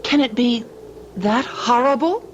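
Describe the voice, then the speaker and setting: An actress playing an anxious, questioning wife in an old-time radio mystery, breathless and exclamatory. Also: female voice, on the high side, a little slow, natural voice. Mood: startled, fearful. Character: anxious, wide-eyed young wife in a mystery. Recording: muffled, noisy old radio recording.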